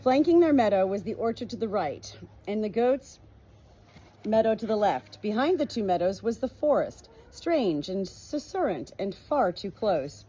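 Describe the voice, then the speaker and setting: A woman reading a storybook aloud in an expressive amateur style, with casual asides, recorded on a phone at home. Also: mid-range voice, normal speed, slight background noise, poor audio. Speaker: amateur book reader, channel host.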